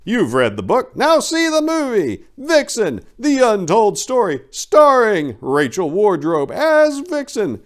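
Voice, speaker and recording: Guy putting on showy movie promo announcer, high and hyped up. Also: wildly swooping pitch, slow, hardly any pauses, heavily acted. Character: bombastic movie trailer announcer.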